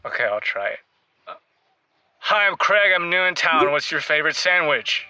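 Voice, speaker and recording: A naive, literal-minded himbo caller in a radio comedy. His voice is very bright and forceful, with an earnest, emphatic delivery. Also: fairly high pitch, very animated, normal speed, heavily acted. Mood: eager, loud. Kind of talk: scripted comedy acting.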